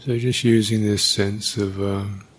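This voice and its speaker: Male meditation teacher, husky and fairly low voice, unscripted guidance with long, halting gaps. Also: little pitch movement. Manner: unhurried, halting, with long pauses.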